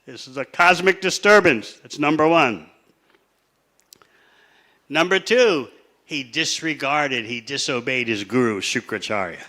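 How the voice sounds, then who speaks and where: A man teaching a scripture class, telling the story in a measured way and laying out his points one by one. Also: medium pitch, animated, bright, forceful tone. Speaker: spiritual teacher.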